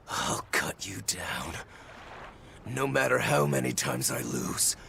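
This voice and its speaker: A man playing a grim, vengeful swordsman in a fantasy video game, with a gravelly voice. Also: mid-range voice, sharp tone, slight background noise, heavily acted. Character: grim, vengeful beaten swordsman swearing to win. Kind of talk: English-dubbed fantasy game acting.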